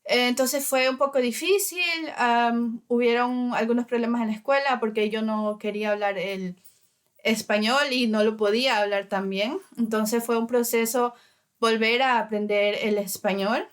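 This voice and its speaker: Woman from Ecuador, thirties, interview guest, hesitant, many fillers, clear resonant voice. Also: on the high side, steady tone, moderate pace. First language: Spanish. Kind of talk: casual interview.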